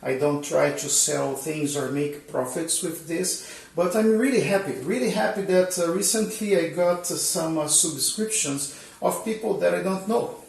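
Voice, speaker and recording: A man's voice: a little high, moderate pace, vocal fry. A man whose first language is Portuguese, hosting his channel and explaining bike frame measurement in a casual, hesitant way, with many fillers and restarts. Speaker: channel host. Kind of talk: casual instructional talk. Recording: roomy echo, mic at a distance.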